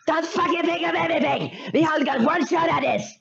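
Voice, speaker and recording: A whiny, bossy little cartoon boy for a comedy reading. The pitch is very high and pinched with a thick creak, and the delivery is sarcastic and exasperated, on slightly muffled audio. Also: fairly quick, a loud crowd, exaggerated voice.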